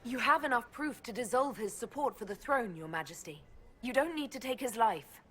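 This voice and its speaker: An actress playing a composed, principled heroine in a fantasy game, speaking in an earnest, direct, restrained way. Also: female voice, a little high, lively intonation, normal speed, a bit bright, husky. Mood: firm.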